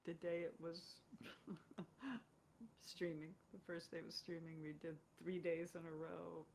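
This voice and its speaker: A woman's voice: fairly low pitch, fairly even intonation, slow pace, gravelly, darkish tone, slight background noise. A woman chatting casually as a guest, speaking thoughtfully and in short responses. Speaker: guest, stage and screen actress. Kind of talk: casual chat.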